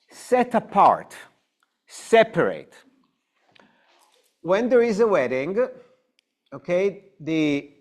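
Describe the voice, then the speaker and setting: Israeli man teaching a slow, high-voiced class. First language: Hebrew. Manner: unhurried, explaining with questions to the class. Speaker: rabbi teaching adult education. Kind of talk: informal Bible class lecture. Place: synagogue classroom.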